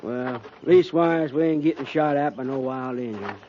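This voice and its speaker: An actor playing an easygoing, cautious cavalry private with a folksy American drawl in a 1950s radio western. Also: male voice, mid-range voice, normal speed, close to an everyday voice. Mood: easygoing, resigned. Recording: muffled, noisy 1950s radio recording.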